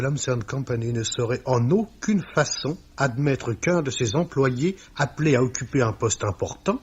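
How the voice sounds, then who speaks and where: An actor playing a stern, sanctimonious company boss who speaks in pompous, formal, scripture-laden sentences in a 1950s-style radio mystery. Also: male voice, medium pitch. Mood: indignant, disapproving. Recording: dull old radio recording with clear hiss.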